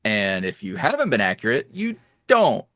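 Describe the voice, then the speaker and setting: A man as a podcast guest talking casually, animated and laughing often as he talks. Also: lowish voice, normal speed, no room sound. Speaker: guest, ASMR roleplay creator.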